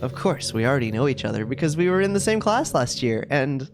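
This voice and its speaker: A man's voice: medium pitch, very animated, a little fast, background noise. A man voicing a sweet, possessive, self-aware schoolgirl from a video game in a chatty, cutesy, confiding way. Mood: sweetly confiding. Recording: music playing behind the voice. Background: game music.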